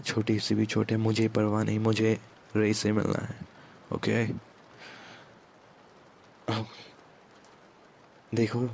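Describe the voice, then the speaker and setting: A man playing a commanding, protective mafia boss in an ASMR romance roleplay, speaking in a hushed, deliberate way with English words mixed in. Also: a little hiss or hum. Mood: concerned, gentle. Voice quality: low, gravelly, breathy at times. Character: commanding yet protective male mafia boss, tender with his captive.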